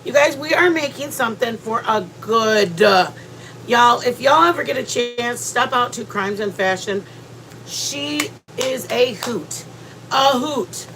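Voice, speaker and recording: Woman, congested, casual livestream host, rambling, slightly high voice, faint background noise. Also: a little slow. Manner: rambling and chatty, with many restarts. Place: at home, near the kitchen.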